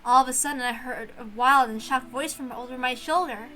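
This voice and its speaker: A woman reading a visual novel aloud in expressive story narration. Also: high-pitched, brisk, faint music behind, poor audio.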